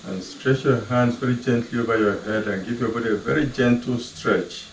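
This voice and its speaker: Guy with a gravelly voice talking people through a body-scan meditation, slow calm cues, kinda distant mic, faint hum behind. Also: medium pitch. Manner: slow, soft, calm step-by-step cues with long pauses. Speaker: meditation guide. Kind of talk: guided relaxation instruction. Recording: roomy echo, voice far from the mic, faint ambient hum.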